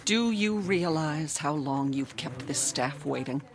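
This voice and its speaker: An actress playing an impatient, bossy magazine boss in a radio drama, speaking curtly. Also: female voice, sits low, lively intonation, measured pace, slight background noise. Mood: stern. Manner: curt, bossy.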